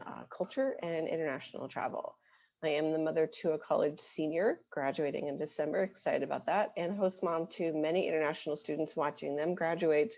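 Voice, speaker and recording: A woman's voice: low-pitched, vocal fry, muffled recording. A woman from the US, an international admissions representative, presenting a webinar in an encouraging way with some "um"s. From United States. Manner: warm, encouraging, with frequent "um"s.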